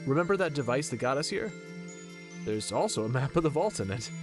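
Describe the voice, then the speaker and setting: Man as an earnest, animated young space hero. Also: medium pitch, brisk, music in the background, natural voice.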